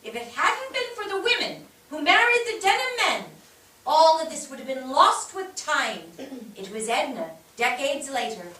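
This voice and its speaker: A woman's voice: high voice, sing-song, normal speed, bright, forceful tone, exaggerated voice. A woman acting an earnest, declamatory narrator of family history in a staged play reading. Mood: earnest, explanatory. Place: theatre stage, recorded from afar. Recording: distant microphone in echoey theatre.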